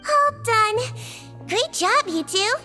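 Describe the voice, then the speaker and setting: A small, kindly nurse creature in a video game. The voice is childlike and very high, with a gentle, matter-of-fact bedside manner and a sly streak, over background music. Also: female voice, animated, slow pace, heavily acted. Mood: cheerfully mischievous. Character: small sweet-voiced female nurse creature, calm, kindly and slyly mischievous.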